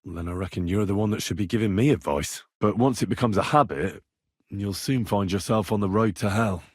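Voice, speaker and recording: A man's voice: lowish voice, a little fast, a little mellow, dry recording, clean studio sound, close to an everyday voice. An actor playing an earnest, reassuring young medieval man tending the sick in a video game, speaking plainly and practically. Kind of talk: video game character dialogue.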